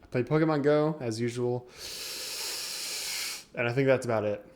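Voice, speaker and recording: A man's voice: mid-range voice, normal speed, darkish tone. A young man co-hosting a gaming podcast, laid-back and chatty, often laughing as he tells stories. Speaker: podcast co-host. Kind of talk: casual gaming podcast chat.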